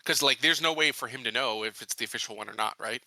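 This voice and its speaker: A man talks casually as a player in a tabletop game. He explains himself in a halting way. His voice is very bright and forward, recorded dry with no room sound. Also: mid-range voice, no room sound, poor audio.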